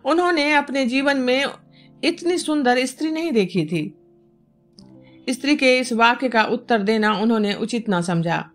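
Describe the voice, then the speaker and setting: High-voiced woman narrating a novel over music. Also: lively intonation. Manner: measured, formal literary diction. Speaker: audiobook narrator. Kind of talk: scripted literary narration of a novel. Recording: music bed behind the voice. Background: instrumental music.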